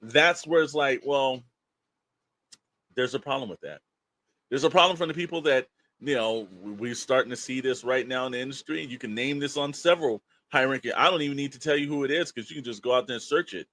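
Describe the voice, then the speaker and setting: Male podcast co-host, mid-pitched voice, emphatic and opinionated, loose colloquial delivery that rambles. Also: a little fast, fairly bright tone, dry recording. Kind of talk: opinionated casual podcast talk.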